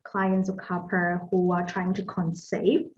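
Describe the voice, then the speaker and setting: A woman's voice: lowish voice, completely flat delivery, measured pace. A woman from Malaysia hosts a podcast interview, asking questions in a hesitant, searching way. Her recording sounds a little dull and muffled. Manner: warm affirming backchannels, hesitant questions. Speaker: podcast host, fertility dietitian.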